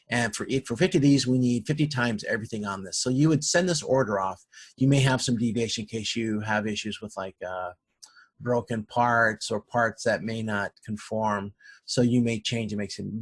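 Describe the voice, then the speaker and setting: A man lecturing informally on a technical subject, explaining step by step with examples and often rephrasing himself in a halting way. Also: mid-range voice, husky. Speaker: lecturer in operations management.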